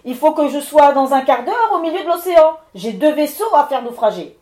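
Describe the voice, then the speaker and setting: A woman's voice: fairly quick, dark tone, lightly acted. A woman voicing an irascible male wind spirit lord who thunders out threats and scolding. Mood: furious. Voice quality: booming, thundering. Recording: roomy echo.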